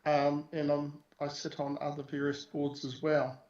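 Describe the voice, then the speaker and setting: A man around sixty, joining a council meeting by video call, introducing himself in a relaxed, plain-spoken way with a few jokes. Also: on the high side, measured pace. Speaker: advisory panel member. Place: remote, joining on screen. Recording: dull, roomy video-call audio.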